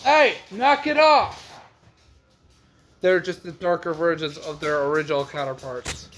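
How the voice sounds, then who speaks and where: A man's voice: fairly high pitch, unhurried. A man hosting a reaction video with casual, hesitant commentary, thinking aloud. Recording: loud background noise.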